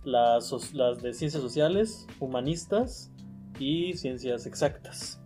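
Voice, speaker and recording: A man's voice: medium pitch, animated, takes it slowly, mellow, soft background music. A man with a Mexican accent hosting a casual comedy chat show, joking and playful. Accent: Mexican Spanish. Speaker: host.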